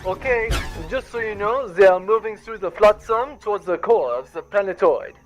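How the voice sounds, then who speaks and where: A man's voice: sits high, expressive, normal speed, faint music behind. A man playing a hapless, sarcastic accountant aboard a privateer spaceship, talking in an exasperated, wordy way for a comic sci-fi audio drama. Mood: nervous, stilted.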